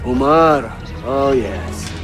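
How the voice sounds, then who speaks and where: An actor playing an injured, solemn assassin comrade in a video game, speaking haltingly and respectfully. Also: male voice, mid-range voice, expressive. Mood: pained, respectful. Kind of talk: cinematic video game acting. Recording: music behind the voice.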